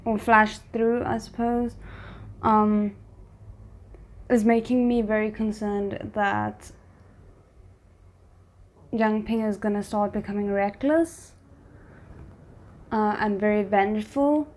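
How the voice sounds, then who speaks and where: A woman's voice: medium pitch, steady tone, takes it slowly, pauses often, a little mellow. A woman hosting a reaction video, talking casually and thinking aloud as she comments.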